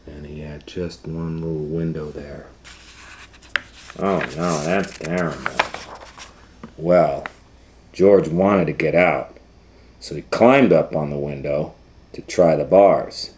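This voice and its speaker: A man reading a story aloud to young children in a dramatic, loosely retold way, moving between hushed asides and excited swells. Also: rumbling low, slow pace, long pauses, a little hiss or hum. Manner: dramatic, hushing to near whispers and swelling with excitement. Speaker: storytime host and reader.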